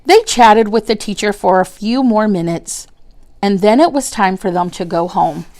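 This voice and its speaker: A woman teacher narrating a children's book aloud in a deliberate, expressive way. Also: medium pitch. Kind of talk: storybook narration.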